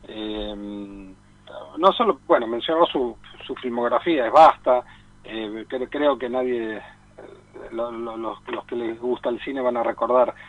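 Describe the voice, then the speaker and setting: A thin phone line carries an Argentine man of medium pitch. He speaks as a radio film columnist, reflective and conversational. His phrasing is halting, with repeated words as he works toward his point. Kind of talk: conversational commentary. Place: remote call into a radio studio.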